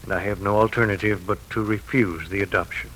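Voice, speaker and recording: An actor playing a stern, unyielding judge in a formal, measured manner, in 1940s radio drama style. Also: male voice, on the low side, fairly even intonation, fairly bright tone, close to an everyday voice. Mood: stern, official. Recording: noisy old 1940s radio recording.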